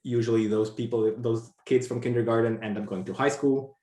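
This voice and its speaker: A young man from Argentina, speaking as an interview guest in a relaxed, rambling way with many "like" fillers. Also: mid-range voice, fairly quick, reverberant. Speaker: interview guest, brand designer. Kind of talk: casual interview conversation.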